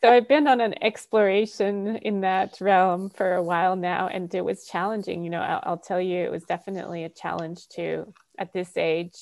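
A woman's voice: fairly low pitch, creaky, no room sound. A woman hosting a podcast in casual conversation, chatty and laughing often, with lots of fillers. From Canada. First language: Polish.

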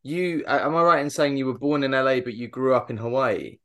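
A man's voice: mid-range voice, steady tone, fairly quick, a bit bright. A man hosting an interview, asking questions and listening with short murmurs of agreement. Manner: attentive, many short backchannels. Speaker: interview host.

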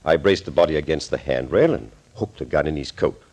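Male mad doctor mastermind for 1940s radio thriller: low voice, sweeping intonation, urbane and amused. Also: expressive, fairly quick, a little mellow, slightly rough, lo-fi sound, exaggerated voice. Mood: gleeful, boasting. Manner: urbane, amused, theatrical, mockingly courteous. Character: suave, gloating mad doctor, a master villain and impersonator. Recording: old scratchy 1940s radio recording.

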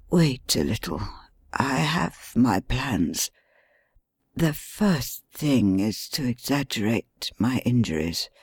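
A man voicing an injured male detective who mutters in a weak, hoarse whisper. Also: medium pitch, darkish tone, no echo, clean studio sound. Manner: weak muttering, urgent instructions. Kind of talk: audiobook reading.

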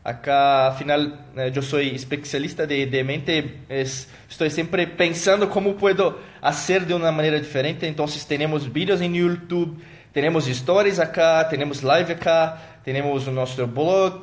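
Portuguese-speaking man, halting live talk. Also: on the high side. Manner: halting, with many fillers and self-corrections; thanks viewers by name as they comment. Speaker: motivational coach. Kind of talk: live stream talk to viewers.

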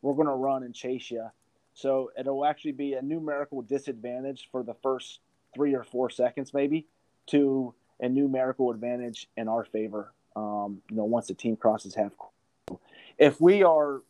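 A man's voice: medium pitch, steady tone, moderate pace, dark tone, no echo, rough recording. A man speaking as a college basketball coach in an interview, explaining his approach emphatically and repeating points for stress. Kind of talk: interview answers about coaching.

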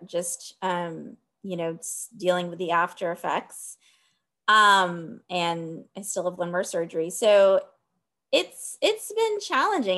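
A woman's voice: medium pitch, a bit bright. A woman in her thirties from Texas, an author answering interview questions thoughtfully, with frequent ums. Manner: thoughtful, lots of ums, laughs easily. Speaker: author and academic guest.

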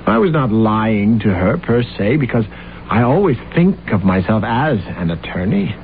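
Grandiose, smooth-talking young striver, swooping delivery. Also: male voice, on the low side, moderate pace. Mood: earnest pleading. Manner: grandiose, flattering, oratorical. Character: boastful ambitious young man, a smooth-talking law clerk courting a lady. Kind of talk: old-time radio drama acting. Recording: tinny, noisy vintage radio recording.

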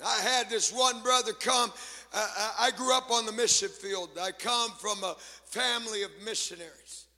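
High, bright-voiced shouting male preacher. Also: moderate pace, long reverb tail. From Latin America. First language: Spanish. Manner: shouting, sing-song preaching with call-outs to the congregation. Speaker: pastor. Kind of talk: sermon. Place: church sanctuary.

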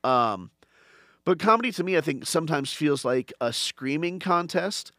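A man who hosts a comedy podcast, telling stories in animated casual chat and acting out crowd voices. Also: fairly high pitch, moderate pace, no room sound, clean studio sound. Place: podcast studio.

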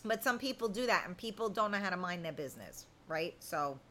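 A woman's voice: on the low side, animated, brisk, pushed and bright, a little hiss or hum. A woman in her fifties hosting a casual live chat, talking to viewers in a candid, blunt, colloquial way. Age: 50s. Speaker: host of a live chat show.

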